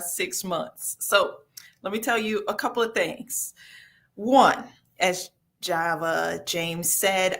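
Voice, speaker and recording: Woman, Southern US accent, lower register, informal talk show guest sharing updates, emphatic and folksy delivery. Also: a bit bright. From the southern United States. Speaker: regular guest, nonprofit executive director. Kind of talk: casual chat and personal updates.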